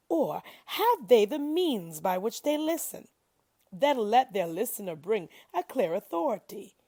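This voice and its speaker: Woman reading scripture out loud, raspy w some fry, expressive n measured, dry sound. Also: fairly high pitch, dry recording. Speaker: reader of scripture.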